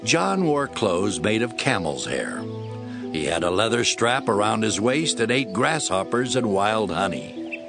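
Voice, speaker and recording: A man's voice: on the low side, big pitch swings, a little slow, vocal fry, over music. A man giving scripted dramatic narration of the Bible.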